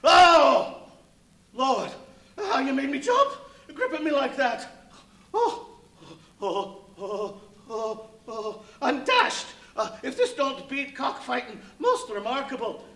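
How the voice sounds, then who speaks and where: A man's voice: very high voice, very animated, slow pace, sharp tone, full character voice. A man playing a flustered, superstitious rustic tramp who is eager to please, in a live radio play. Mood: amazed. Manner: flustered, exclaiming, folksy. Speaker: stage radio play actor. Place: live performance at a brewery venue. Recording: echoey live stage recording.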